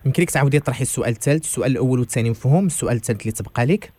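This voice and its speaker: Fast-talking Moroccan male radio host. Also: mid-range voice, mellow. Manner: brisk, clarifying, rephrases questions. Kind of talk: hosting a call-in religious program.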